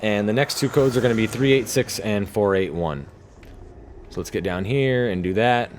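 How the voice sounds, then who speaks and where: A man's voice: on the low side, normal speed, a little hiss or hum. A man giving casual, laid-back and sometimes sweary commentary over his own video game play. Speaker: gamer narrating his own gameplay video.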